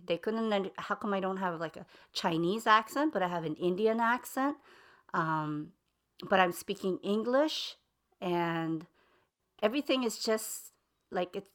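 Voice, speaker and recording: A woman's voice: mid-range voice, lively intonation, a little slow. A middle-aged mother speaking earnestly in an interview, explaining things with hesitant ums and uhs. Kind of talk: casual interview talk.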